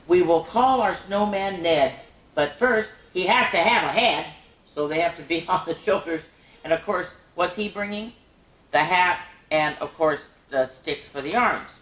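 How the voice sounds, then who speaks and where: A woman hosting a children's story time, reading picture books aloud in an animated way with chatty, exclaiming asides. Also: fairly low pitch. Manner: animated, repeats phrases for emphasis, exclaims. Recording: roomy, echoing room sound.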